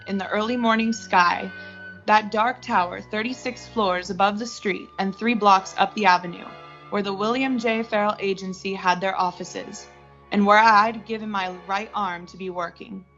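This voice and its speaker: A woman's voice: medium pitch, steady tone, moderate pace, fairly bright tone, lightly acted. A young woman acting an ambitious, unsentimental art director who narrates her own story in a radio suspense play. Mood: grim, reflective. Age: young adult. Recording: muffled lo-fi recording with music.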